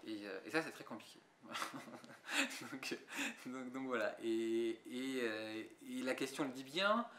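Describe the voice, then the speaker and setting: A man's voice: medium pitch, a little slow, background noise. Hesitant man in an echoey room. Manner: hesitant, many restarts and fillers. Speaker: visual artist interviewed. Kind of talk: reflective interview answers. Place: museum gallery.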